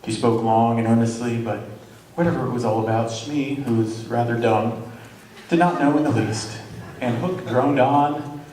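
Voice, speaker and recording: A man's voice: mid-range voice, moderate pace, a little mellow. A man narrating and announcing from a script in an old-time radio style stage show. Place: large hall, live stage show. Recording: very echoey hall, distant mic, some noise.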